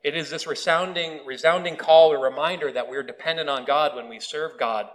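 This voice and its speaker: A man's voice: on the high side, a little fast. A man preaching a sermon in a church, telling stories with touches of humor. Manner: storytelling with humor, animated quoted voices.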